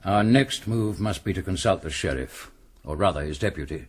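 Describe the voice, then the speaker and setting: Deep, husky monastic elder. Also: male voice, lively intonation, moderate pace. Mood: calm, reassuring. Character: calm, authoritative, reassuring senior abbey monk. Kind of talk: radio drama acting.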